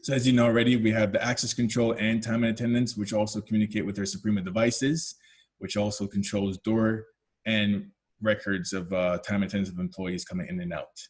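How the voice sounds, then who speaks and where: A man's voice: fairly low pitch, level tone, fairly quick, slightly rough. A man with a Canadian accent presenting a product webinar as a technical lead, explaining step by step with frequent fillers and self-corrections.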